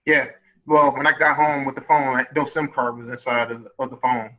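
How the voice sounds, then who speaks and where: A man calling in as a student, explaining haltingly with many fillers. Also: medium pitch, fairly even intonation, sharp tone. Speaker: student caller. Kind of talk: casual call. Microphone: online call. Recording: dull lo-fi call sound.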